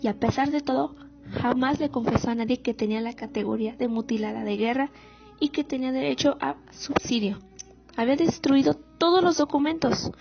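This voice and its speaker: A woman's voice: sits high, brisk, creaky. A woman playing a proud, wounded female war veteran recounting memories in a radio drama monologue. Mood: reflective, pained. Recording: muffled audio with music underneath.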